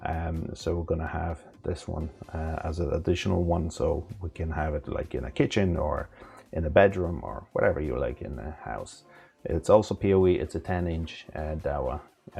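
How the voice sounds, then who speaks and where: Deep, gravelly man explaining step by step. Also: dark tone. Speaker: technical instructor. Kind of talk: unscripted step-by-step software tutorial.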